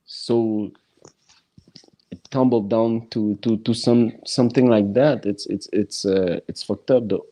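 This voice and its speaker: A man who speaks English as a non-native language, hosting a casual podcast chat and talking haltingly with many restarts. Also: lowish voice, fairly even intonation, dark tone. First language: neither English nor French.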